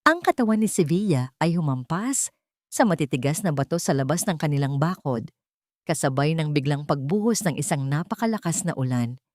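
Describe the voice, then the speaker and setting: A woman's voice: sits low, expressive, brisk, darkish tone, no echo, studio quality. A woman narrating a scripted audio drama story in a dramatic storytelling style.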